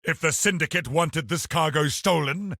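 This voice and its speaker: An actor playing a cruel, zealous military crusader, a video game boss who is haughty, commanding and menacing, with a gravelly, raspy voice. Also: male voice, a little high, moderate pace, bright, forceful tone, dry recording, studio quality, exaggerated voice. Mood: haughty, contemptuous. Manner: haughty, imperious, menacing, declamatory.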